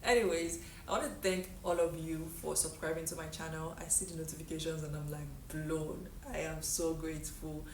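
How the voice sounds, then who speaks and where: A woman's voice: low-pitched, steady tone, normal speed, few pauses, roomy sound, far from the mic, slight background noise, rough recording. A young Nigerian woman vlogger answering viewer questions in a breezy, chatty way, full of "like" and "okay?". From Delta State, Nigeria. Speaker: lifestyle vlogger. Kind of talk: casual get-to-know-me Q&A.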